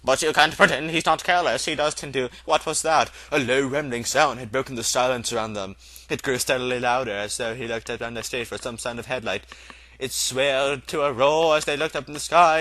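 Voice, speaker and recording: A man's voice: medium pitch, a little fast, fairly bright tone, no echo. A man narrating a storybook aloud as an amateur reader, in a theatrical, loosely performed style.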